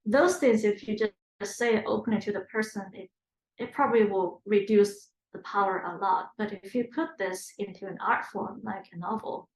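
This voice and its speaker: A woman's voice: mid-range voice, moderate pace, reverberant, rough recording. A woman in her thirties from China, whose first language is Chinese, thinking aloud in an oral history interview with fillers and restarts. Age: 30s.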